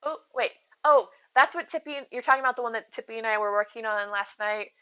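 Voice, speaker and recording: Bright-voiced woman chatting on stream. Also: on the high side, fast, clear, resonant tone. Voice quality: soothing voice. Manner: chatty, rambling, giggly, addresses chat by name. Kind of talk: casual live-stream chat.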